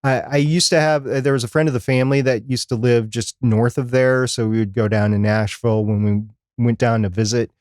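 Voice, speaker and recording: A middle-aged man talks as a podcast co-host in an unscripted live chat. His pitch is average for a man, and his explanations wander conversationally. The sound is close and dry, with very little room. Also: little pitch movement, brisk, hardly any pauses, a little mellow, no room sound.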